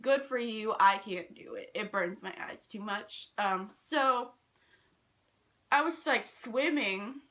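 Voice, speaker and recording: A young woman telling personal stories in a casual, animated, rambling and self-deprecating way. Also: fairly high pitch, slow pace. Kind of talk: casual storytime chat.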